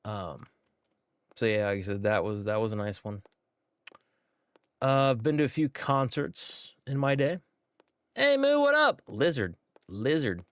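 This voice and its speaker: A man's voice: mid-range voice, very animated, unhurried, pauses often, no room sound. Rambling man streaming a game. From United States. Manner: laid-back and rambling, with many fillers. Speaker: gaming streamer. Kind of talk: casual gameplay commentary.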